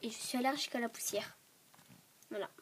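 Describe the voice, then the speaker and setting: Stuffy-voiced woman vlogging, hurried. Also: fairly high pitch, fairly bright tone. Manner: hurried, rambling, often drops to a whisper. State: stuffy voice from a cold. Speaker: vlogger showing a toy dollhouse. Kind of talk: casual show-and-tell vlog. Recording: clear background noise and clicks.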